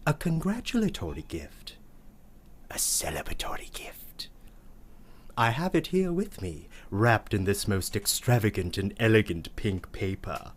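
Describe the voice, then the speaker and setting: An actor playing a prissy, verbose uncle with a pompous, ornate manner in a comic radio play monologue. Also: male voice, fairly low pitch, sing-song, measured pace. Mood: proud, fussy. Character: prissy, verbose, scandalized uncle telling family tales.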